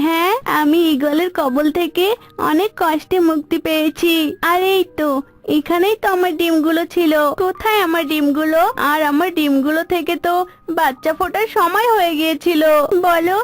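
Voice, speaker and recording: A woman's voice: very high-pitched, fairly even intonation, moderate pace. A woman voicing a small, distraught mother bird in a cartoon, pleading and demanding answers. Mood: alarmed, accusing.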